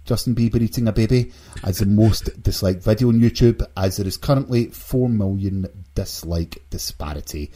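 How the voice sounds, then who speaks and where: Low, mellow, husky-voiced male podcast host. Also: moderate pace, few pauses. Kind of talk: casual gaming news discussion.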